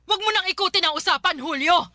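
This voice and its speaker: A woman's voice: extremely high pitch, fast, sharp tone, no room sound, studio quality. A woman acting the part of a hurt, angry wife in a Tagalog radio drama, accusing and insistent. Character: hurt, furious wife confronting her cheating husband.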